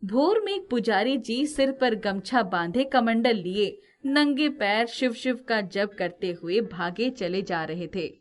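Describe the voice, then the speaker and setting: Higher-pitched woman narrating a story. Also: expressive, slow pace, few pauses.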